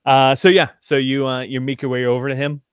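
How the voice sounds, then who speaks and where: A man runs a tabletop game in an ordinary mid-range voice. He narrates casually and haltingly, slipping in self-deprecating asides, with a dry, room-free sound. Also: mid-range voice, little pitch movement, clear, resonant tone, no echo. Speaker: game master.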